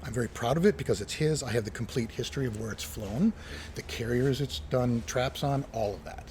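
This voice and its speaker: A man's voice: medium pitch, fairly quick, darkish tone. Middle-aged man telling stories over background noise. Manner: enthusiastic storyteller, acts out quoted dialogue. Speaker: interview guest, retired watch collector. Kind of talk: casual anecdotal conversation.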